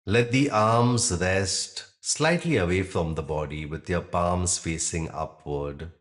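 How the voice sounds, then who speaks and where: A man guiding a yoga nidra relaxation in a calm, measured manner, leaving long pauses between short phrases. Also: low-pitched. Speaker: yoga nidra meditation guide. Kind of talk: guided relaxation meditation.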